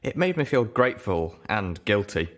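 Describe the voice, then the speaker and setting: A man reading a story aloud as an expressive first-person narrator. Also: on the low side, normal speed. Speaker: audiobook reader.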